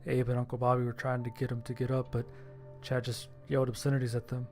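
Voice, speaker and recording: A man reading scripted first-person horror fiction as its narrator, with a gravelly voice. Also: mid-range voice, completely flat delivery, fast, darkish tone, soft background music.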